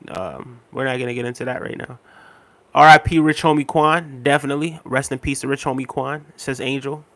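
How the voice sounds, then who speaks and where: A man in his thirties hosting a casual livestream, reading viewer comments aloud in a relaxed, repetitive way with many fillers, a little unwell and tired. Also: medium pitch, brisk, no room sound. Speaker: livestream host.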